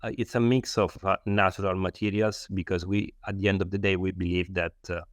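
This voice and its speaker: A man's voice: low voice, level tone, brisk, no echo, clean studio sound. A man with an Italian accent, a non-native English speaker, giving explanatory interview answers and often searching for words. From Italy. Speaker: loudspeaker designer, interview guest.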